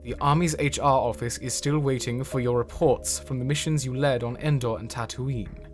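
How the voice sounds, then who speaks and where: A man voices a stiff, zealous general in a dramatic comic dub. He speaks in formal, pompous speeches at a middle pitch, proud and certain of his cause. Music plays clearly behind him. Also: steady tone, normal speed, natural voice. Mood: sardonic, reproachful.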